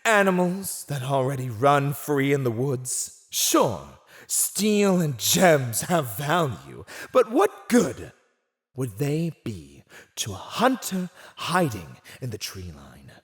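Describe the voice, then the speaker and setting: A man's voice: mid-range voice, wildly swooping pitch, slow pace. A man playing a silver-tongued villain in an ASMR roleplay, condescending, theatrical and seductively persuasive as he teases a captive. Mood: scornful, disdainful. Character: silver-tongued, teasing male villain gloating over a captive. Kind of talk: scripted ASMR roleplay acting.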